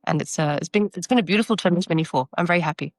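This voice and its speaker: A woman's voice: on the low side, racing, no echo, clean studio sound. A woman in her thirties who grew up in France, with Taiwanese as her first language, answering interview questions reflectively with fillers and restarts. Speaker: marketing consultant and guest.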